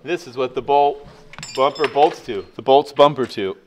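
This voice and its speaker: A man's voice: mid-range voice, moderate pace, noisy background. A man hosting a vlog, chatting casually and laughing often as he shows truck parts in a workshop. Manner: laid-back, laughs often. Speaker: vlog host. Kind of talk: casual chat.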